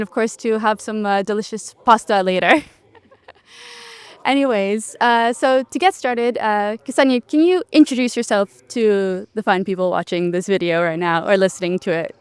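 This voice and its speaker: Upbeat lady interviewer chatting away, super clear voice, dry sound. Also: medium pitch, a little slow, dry recording. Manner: upbeat and chatty, filler-heavy, laughs. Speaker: interviewer, event host. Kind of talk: casual live interview. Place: outdoors on a bench at a city event.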